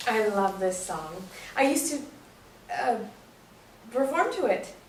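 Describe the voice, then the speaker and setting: An actress playing a sociable, flirty party woman with a fairly high voice in a stage play reading. The sound is distant and echoey, with faint noise. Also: very animated, slow. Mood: playful, flirty. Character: sociable, flirty woman, former stripper, eager party guest.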